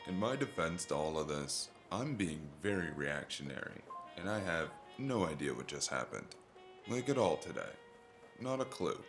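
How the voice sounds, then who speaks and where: A man playing a weary, laconic stranger with a gravelly voice in a noir audio drama. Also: sits low, very animated, normal speed, music in the background. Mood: weary, bemused.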